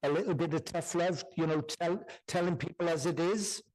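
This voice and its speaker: A man's voice: on the high side, fairly even intonation, normal speed, darkish tone, rough recording. A man working as a guest medium in a church service, speaking to audience members with frequent repetitions and "do you understand?" check-ins.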